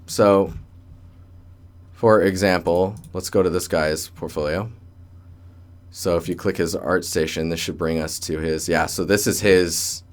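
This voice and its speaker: A young man hosting a casual livestream, rambling and joking in a colloquial way as he gives commentary. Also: deep voice. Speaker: livestream host, digital artist. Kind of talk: casual livestream chat and portfolio critique. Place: indoor room with door closed.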